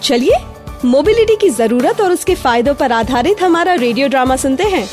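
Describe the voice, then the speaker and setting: High-pitched woman presenting a radio show. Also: lively intonation, moderate pace, over music. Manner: addresses listeners directly, conversational.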